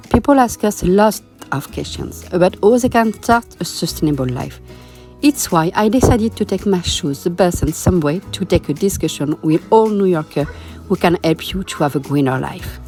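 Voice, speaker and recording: A woman hosting an interview as a non-native English speaker, with halting, non-idiomatic phrasing. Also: fairly low pitch, darkish tone. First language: not English. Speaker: host interviewer. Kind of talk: interview with prepared introduction. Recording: music behind the voice.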